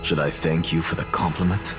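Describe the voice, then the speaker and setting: A haughty, low-voiced scholar. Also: male voice, brisk. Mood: reasoning. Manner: calm, lecturing, haughty. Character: proud, scheming male scholar professor, middle-aged. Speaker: professor. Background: game background music.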